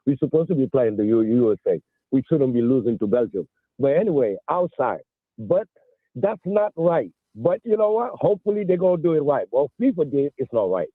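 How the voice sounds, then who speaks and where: A man in his sixties from Senegal calling in to a sports radio show, speaking emphatically with halting restarts. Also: medium pitch, big pitch swings, husky, no echo. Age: 60s. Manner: emphatic, halting, repeats himself. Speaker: caller. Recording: tinny phone line.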